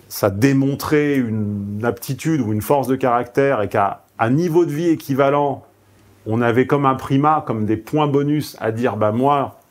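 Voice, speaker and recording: Frank, salty-tongued seminar speaker man. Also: mid-range voice. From southeastern France. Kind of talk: seminar talk.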